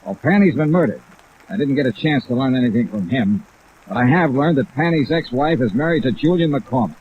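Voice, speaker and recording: An actor playing a tough, unflappable insurance investigator in a clipped, wry 1950s radio detective style. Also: male voice, medium pitch, animated, brisk, slightly rough. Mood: terse, urgent. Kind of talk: 1950s radio detective drama acting and narration. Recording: old tinny radio recording, rain hiss over it.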